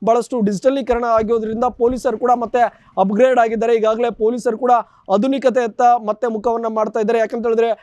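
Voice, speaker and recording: Very high-pitched male news reporter. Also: flat delivery, quick pace, darkish tone, no echo, dull audio. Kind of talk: unscripted TV news field report.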